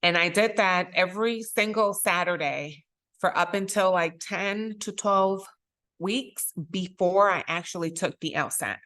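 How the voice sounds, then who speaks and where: A woman's voice: fairly low pitch, bright, forceful tone. A middle-aged woman from Puerto Rico, a native Spanish speaker and judge, giving an earnest motivational talk to students and stressing key phrases. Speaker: circuit court judge, guest speaker.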